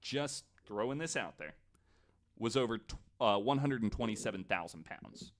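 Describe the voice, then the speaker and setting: A man presenting a researched story on a casual podcast, speaking in a halting way as he explains from his notes. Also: lowish voice, lively intonation.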